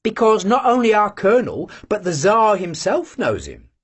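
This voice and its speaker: A man's voice: high voice, huge pitch swings, measured pace, breathy edge, pitched above the performer's own voice, heavily acted. A man doing a cheerful boastful young servant. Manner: good-natured, proud.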